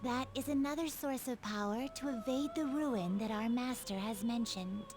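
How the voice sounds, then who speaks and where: A woman playing a solemn young attendant to a master in a video game, speaking in a formal, measured way. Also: a little high, lightly acted. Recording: music behind the voice.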